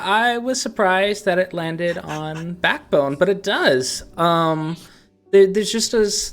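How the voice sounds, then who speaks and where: A man's voice: a little high, a bit bright. A man hosting a podcast, chatting casually about games and thinking aloud in a rambling way. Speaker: podcast host.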